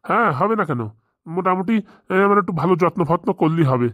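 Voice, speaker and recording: A man plays a bossy village gentleman itching to get married in a comic cartoon. He talks fast and folksy, rambling along in dialect. The sound is close and dry. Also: high voice, lively intonation, no room sound. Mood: insistent. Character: eager marriage-hungry village gentleman, bossy boss to two helpers. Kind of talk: comic Bengali cartoon dialogue.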